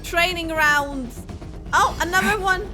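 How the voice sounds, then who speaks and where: Very high-pitched, excitable woman gamer. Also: slow pace. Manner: excitable, expressive, exclaiming. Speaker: gaming video creator reacting to a game. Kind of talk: casual playthrough commentary. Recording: loud game music behind the voice. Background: game music.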